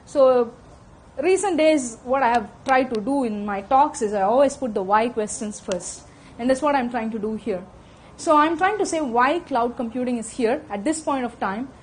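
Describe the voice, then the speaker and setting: A woman's voice: fairly high pitch, slight background noise. A middle-aged woman with an Indian accent giving a technical lecture to a room of listeners on a handheld microphone, often checking in with "okay?". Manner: fast-talking, frequent "okay?" check-ins. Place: conference room with audience.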